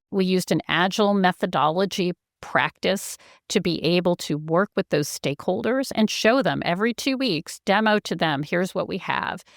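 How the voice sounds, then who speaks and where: A woman speaks as an interview guest with a slightly low voice and a close, dry sound. She explains things as a company executive, articulate and earnest, with evident enthusiasm for the subject. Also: dry recording.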